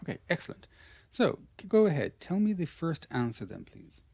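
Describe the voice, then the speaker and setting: A man's voice: fairly high pitch, big pitch swings, moderate pace, gravelly, darkish tone, no room sound. Patient Irish man teaching pronunciation. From Ireland. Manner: patient, encouraging, repeats praise. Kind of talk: online pronunciation lesson.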